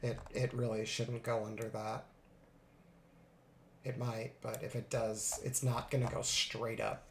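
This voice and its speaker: Congested gravelly man talking through trades. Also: mid-range voice, a little slow, reverberant, slight background noise. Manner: thinks aloud, relaxed, many fillers. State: congested from a cold. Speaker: futures day trader streaming live. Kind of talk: live trading commentary.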